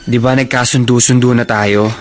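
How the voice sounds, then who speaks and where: Actor as reassuring young Filipino elder brother. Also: male voice, mid-range voice, level tone, moderate pace, a little hiss or hum, natural voice. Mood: firm, encouraging. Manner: reassuring, firm. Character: protective college-age elder brother, reassuring and steadfast. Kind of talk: Filipino radio drama acting.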